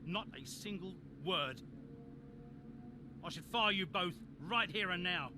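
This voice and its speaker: An actor playing a blunt, hot-tempered middle-aged boss of a racing team in a video game cutscene. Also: male voice, high-pitched, takes it slowly, noisy background. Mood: furious, scolding. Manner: blunt, commanding, scolding. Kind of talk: cinematic video game dialogue.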